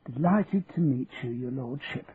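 A man's voice: medium pitch, lively intonation, unhurried, slightly rough. A man voicing a proud middle-aged English mother in an audiobook, modest and respectful in manner. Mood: deferential. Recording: muffled narrow-band audio with hiss.

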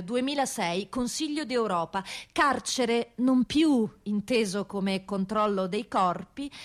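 A woman narrator reading a scripted theatrical documentary text with solemn, deliberate phrasing. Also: a little high.

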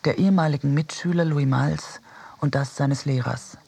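An actor playing a reflective narrator of memories in a radio drama, quiet and measured, with a husky, breathy voice. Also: male voice, fairly high pitch. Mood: sober. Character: reflective narrator recalling childhood, cinema and wartime loss. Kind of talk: literary first-person narration for radio drama.

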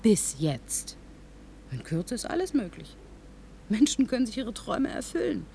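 Proud scientist, animated intonation. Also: female voice, a little high, unhurried, gravelly, a little hiss or hum, exaggerated voice. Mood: defensive, impatient. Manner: brusque, defensive, emphatic. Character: proud, impatient female scientist defending her visionary technology. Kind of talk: radio drama acting.

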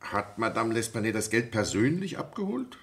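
A man's voice: on the low side, big pitch swings, moderate pace, creaky. A man acting as a brusque, commanding police prefect in a German radio play, questioning with dry irony. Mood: brisk, probing. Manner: commanding, probing, dryly ironic. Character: brusque, sardonic male police prefect leading an interrogation.

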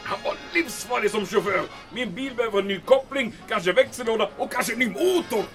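High, blustering major. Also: male voice, soft background music, full character voice. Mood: rattled. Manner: barking, excitable, mixes in German. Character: flustered, blustering German army major. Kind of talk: comic radio drama acting.

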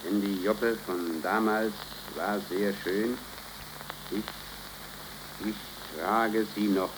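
An actor playing an earnest, troubled working-class man in a 1930s radio drama, with a halting, deliberate delivery. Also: on the low side, fairly even intonation. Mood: somber, halting. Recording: crackly, hissing 1930s radio recording.

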